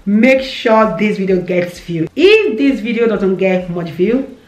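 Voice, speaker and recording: A woman in her thirties with a Nigerian accent, chatting casually to camera about business, animated and repeating herself for emphasis. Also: medium pitch, a little slow. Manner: animated, repeats phrases, gestures a lot with hands. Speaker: vlogger. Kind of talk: casual business advice chat. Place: indoor room with shelves. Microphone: camera in front, seated. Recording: roomy echo.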